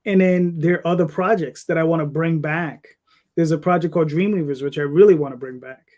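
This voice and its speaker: A man's voice: on the high side, fairly quick, a little mellow. A man in his fifties talking casually as an interview guest about his creative work, in a rambling way. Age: 50s.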